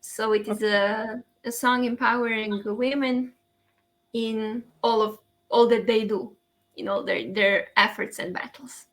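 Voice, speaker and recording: A woman's voice: fairly high pitch, fairly even intonation, a little slow, a bit bright. A woman poet from Serbia, a native Serbian speaker, talking carefully in English.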